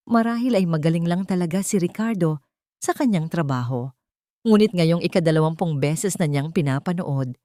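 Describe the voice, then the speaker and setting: A woman narrates a scripted drama in a slightly low voice. Her pitch moves widely from phrase to phrase, and the recording is close and dry. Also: dark tone, no room sound.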